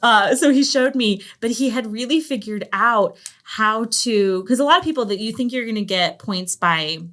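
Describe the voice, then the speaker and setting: A middle-aged woman chatting casually as an interview guest, telling anecdotes in an animated way and acting out quoted remarks. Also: fairly high pitch, normal speed. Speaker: podcast guest, homeschool language program founder. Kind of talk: casual conversational storytelling.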